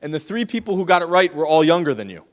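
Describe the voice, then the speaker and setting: A man giving a lively, teasing lecture to students in a large hall, speaking into a handheld microphone. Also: a little high, fast talker. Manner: lively, teasing, talks straight to the audience. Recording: long hall reverb.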